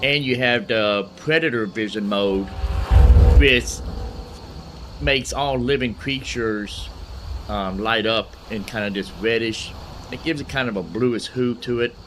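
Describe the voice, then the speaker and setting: A man's voice: mid-range voice, unhurried. A man giving casual, rambling, folksy commentary as a gaming streamer. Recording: loud background noise under commentary. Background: game ambience and dialogue.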